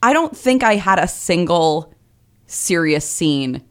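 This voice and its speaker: Low-voiced woman telling stories. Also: expressive, slow pace. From Vermont Northeast Kingdom. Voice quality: lower voice. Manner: chatty, self-deprecating, many likes and ums. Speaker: guest comedian. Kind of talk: casual interview conversation.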